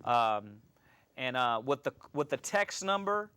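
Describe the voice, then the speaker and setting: A man's voice: medium pitch, sing-song, a little slow, bright, forceful tone, clean tone, no echo. A man hosting a live religious show from a small studio, talking loosely with many fillers and restarts. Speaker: host.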